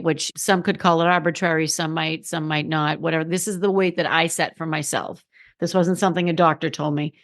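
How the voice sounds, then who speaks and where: A middle-aged woman, slightly hoarse in the morning, hosting a solo podcast in a candid, conversational way and repeating phrases for emphasis. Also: lowish voice. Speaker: podcast host. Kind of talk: solo motivational podcast talk.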